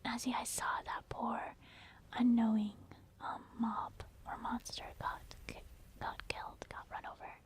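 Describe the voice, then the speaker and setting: A woman giving soft-spoken, informal ASMR commentary over her own video game play, explaining it gently as she goes. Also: a little high, flat delivery, measured pace. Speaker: video game streamer narrating own gameplay.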